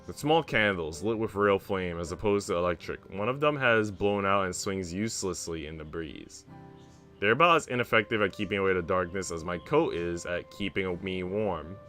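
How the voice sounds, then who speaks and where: A man's voice: low-pitched, moderate pace, fairly bright tone. A young man streaming a visual novel in a laid-back, slangy way, switching between casual chat and reading aloud. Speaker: game streamer. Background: music.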